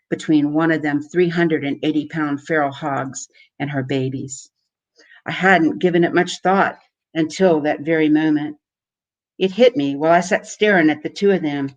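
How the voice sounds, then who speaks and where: A man giving a measured, dramatic live reading of a first-person horror story. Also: fairly high pitch. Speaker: author or performer reading.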